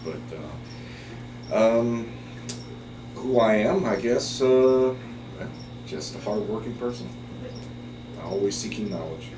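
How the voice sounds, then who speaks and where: A middle-aged man from Taiwan, whose first language is Chinese, telling his story in an interview as a biology professor. He speaks unhurriedly, with many pauses and fillers. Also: lowish voice, far from the mic. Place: office. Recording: roomy, off-mic, with background hiss.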